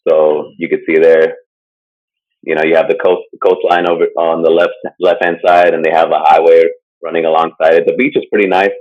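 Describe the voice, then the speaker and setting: A man with a very low, deep voice chats loosely about a topic he's presenting, leaning on "like" and "uh" and chuckling often. The recording is dull and lo-fi. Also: level tone, a little fast. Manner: rambling, full of "like" and "uh" fillers, chuckles often. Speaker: podcast co-host presenting a topic. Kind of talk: casual chat. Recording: dull lo-fi sound.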